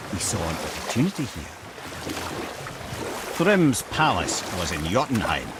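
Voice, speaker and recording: Wise old Scottish sage for a video game: eloquent, wry, very lively intonation, clear watery background noise. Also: male voice, medium pitch. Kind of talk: dramatic storytelling in a video game.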